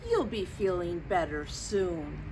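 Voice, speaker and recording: A woman plays a young fox cub in a children's storybook, earnest and caring. He speaks slowly and simply, worried but reassuring. There is clear noise on the recording. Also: lowish voice, expressive, far from the mic, pitched below the performer's own voice. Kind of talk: children's storybook read-aloud.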